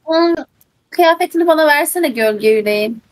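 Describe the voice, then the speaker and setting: A woman's voice: high voice, animated, fairly quick, no echo. A woman doing casual, irreverent gameplay commentary in short asides, as a gaming streamer.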